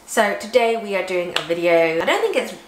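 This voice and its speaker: A woman's voice: mid-range voice, sing-song, fairly quick, slight background noise. A woman from England, chatting casually and explaining things at length as a vlog co-host. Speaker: vlogger co-host. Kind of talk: casual chat. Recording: echoey room with faint ambience.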